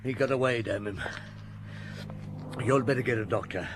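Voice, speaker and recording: A man's voice: mid-range voice, normal speed, noisy background, lightly acted. An actor playing a brusque, dogged police chief inspector in an audio drama, curt and probing in his questions. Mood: urgent.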